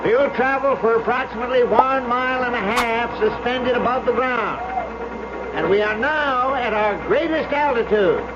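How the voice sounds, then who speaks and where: High-voiced folksy cable car showman. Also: normal speed. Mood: showy, jovial. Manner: showy tour-guide patter. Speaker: actor. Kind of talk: old-time radio drama acting. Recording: noisy, dull old radio recording.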